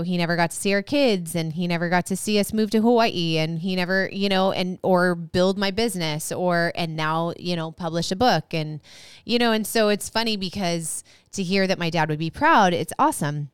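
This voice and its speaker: A woman with a high, strikingly clear voice talks through a personal story in a relaxed podcast chat. She rambles and restarts her sentences now and then. The sound is close and dry. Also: dry recording. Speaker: podcast co-host. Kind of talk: casual chat.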